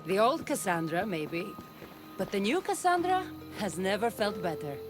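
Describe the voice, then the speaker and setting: A woman's voice: mid-range voice, big pitch swings, moderate pace, close to an everyday voice. An actress playing a weary, sardonic mercenary woman in a video game cutscene, speaking casually. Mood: wry. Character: wry, weary Greek mercenary woman in her prime. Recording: music behind the voice. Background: music.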